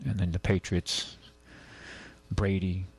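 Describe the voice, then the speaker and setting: A man hosting a call-in radio show, talking casually and haltingly, rambling as he goes and reading out items. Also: deep voice, little pitch movement, a little mellow, husky. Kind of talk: casual talk radio, reading news and chatting. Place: radio studio. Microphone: close studio microphone.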